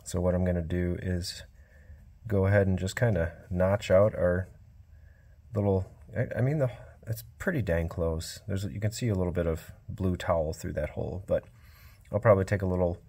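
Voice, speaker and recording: A man narrating his small-engine repair work in a casual, quiet, low-key way, recorded on a cell phone in a home workshop. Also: normal speed. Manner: quiet, low-key, self-described monotone.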